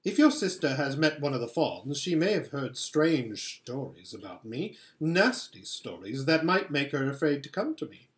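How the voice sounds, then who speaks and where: Sly storybook queen, performed by a man, coaxing, swooping pitch, roomy sound. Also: big pitch swings, moderate pace, few pauses, natural voice. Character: sly, coaxing witch queen, falsely sweet. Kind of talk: storybook read-aloud.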